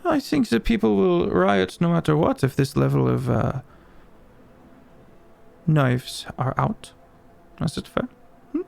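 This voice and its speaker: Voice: mid-range voice, animated, slow pace, creaky, long pauses, darkish tone. A game master narrating a tabletop game in a playful, teasing way, often hesitating with fillers.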